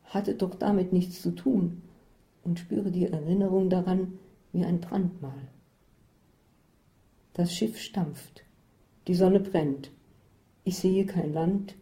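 A woman narrating a first-person story in a measured, descriptive way. Her voice is fairly low and very mellow and dark. She reads the text aloud with care.

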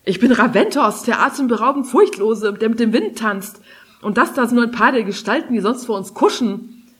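A woman's voice: fairly high pitch, expressive, moderate pace, pitched above the performer's own voice, natural voice. Woman voicing a proud, touchy young male ice dragon. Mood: boastful. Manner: indignant, pompous declarations.

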